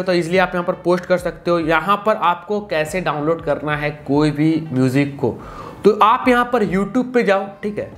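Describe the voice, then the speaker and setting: A man giving a casual, instructional phone-app tutorial, often repeating stock phrases. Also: on the high side. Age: 20s. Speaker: tutorial presenter. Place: small indoor room.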